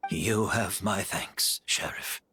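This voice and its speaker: An actor playing an ailing, remorseful gardener in a video game, earnest and formal in a measured, reflective way. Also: male voice, low voice, sharp tone, slightly rough, no room sound, clean studio sound, full character voice. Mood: resigned, polite. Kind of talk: dramatic video game voice acting.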